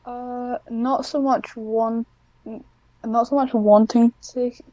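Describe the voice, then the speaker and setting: Hesitant, chatty woman with an even pitch. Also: slow, dry recording, low-quality recording. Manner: hesitant, self-deprecating, chatty. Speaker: podcast guest, writer. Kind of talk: casual one-on-one interview chat.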